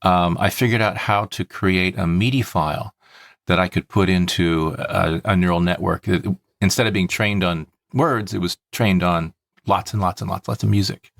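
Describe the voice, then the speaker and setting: A man's voice: low voice, moderate pace, vocal fry, dry recording, studio quality. A man hosting a podcast, explaining things in a casual, methodical way. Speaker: podcast host.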